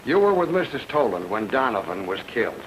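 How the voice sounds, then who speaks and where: An actor playing a dry, curt, hard-boiled police chief in 1940s radio noir style. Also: male voice, on the low side, very animated, brisk, background noise. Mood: dry, skeptical. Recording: old radio drama, music behind.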